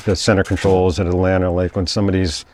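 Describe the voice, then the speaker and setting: A man's voice: deep voice, little pitch movement, fast talker, darkish tone, a little hiss or hum. A man who is a pilot, asking questions in a casual, conversational way as an interviewer. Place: air traffic control tower.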